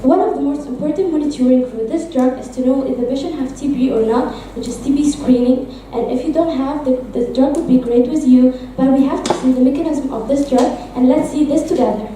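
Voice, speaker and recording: A young woman student presenting as a pharmacist, calm and reassuring as she explains a drug. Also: high-pitched, a little fast, hardly any pauses, a little hiss or hum. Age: young adult. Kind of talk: class presentation and role play. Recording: echoey room from far off.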